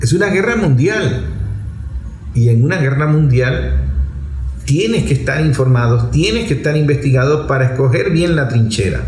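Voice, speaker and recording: A man's voice: mid-range voice, a little mellow, slight background noise. A man giving a political commentary monologue in an emphatic, didactic way, repeating phrases for effect. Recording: echoey room with music underneath. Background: music.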